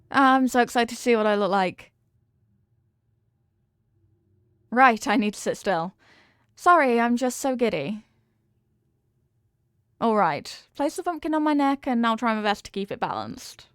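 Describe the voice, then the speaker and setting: A woman's voice: a little high, no echo, studio quality. A woman playing a sweet, devoted headless horsewoman girlfriend in an intimate ASMR roleplay, affectionate, playful and gushing. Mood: giddy, teasing.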